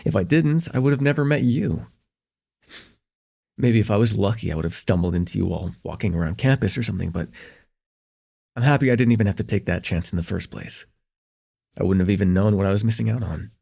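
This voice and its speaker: A man's voice: on the low side, fairly quick, gravelly, long pauses, warm tone, breathy edge. A young man playing a friendly, forgetful host in a slice-of-life ASMR roleplay, chatting casually and hesitantly with a close friend at home. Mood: warm, chatty. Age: young adult. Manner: hesitant, rambling, chuckling, easygoing.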